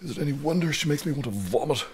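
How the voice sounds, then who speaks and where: A man's voice: on the high side, animated, rapid-fire, husky. A man of about fifty with an Irish accent acting a grumpy, sardonic retreat manager in a radio drama, dry and mock-grandiose. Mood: mock-rapturous, bitter. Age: middle-aged.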